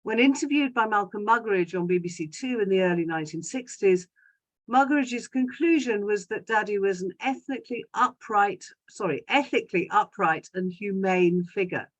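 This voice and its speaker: A woman, reading a prepared personal talk in an articulate, measured and formal way. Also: mid-range voice, lively intonation, low-quality recording. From London, England. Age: 60s. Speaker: guest speaker giving a talk about a parent. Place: indoors in a home study, on a video call.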